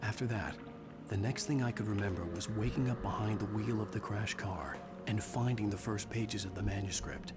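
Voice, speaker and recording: An actor playing a haunted male writer, narrating in a grave, measured way over loud game music. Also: lowish voice, natural voice. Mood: somber. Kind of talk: scripted narration.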